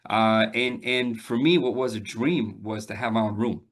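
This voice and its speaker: A man in his forties, born and raised in Albania, telling his story in a relaxed interview with lots of fillers and restarts. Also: on the low side, normal speed. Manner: talks with frequent fillers and repeated starts, laughs now and then. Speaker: realtor guest.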